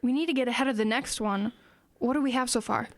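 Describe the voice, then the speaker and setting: A woman's voice: on the high side, fast, no room sound, natural voice. Teen schoolgirl sleuth female actor, matter-of-fact and analytical, raised register, very dry recording. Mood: analytical, determined. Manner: matter-of-fact, reasoning aloud. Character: earnest, analytical teenage schoolgirl amateur sleuth. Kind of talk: audio drama acting.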